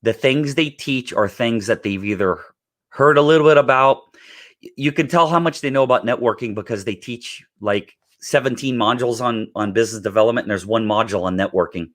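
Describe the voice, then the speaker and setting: A middle-aged man with a raspy voice from recent illness, giving an emphatic live training on real estate networking and often clearing his throat. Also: mid-range voice, a little fast, fairly bright tone.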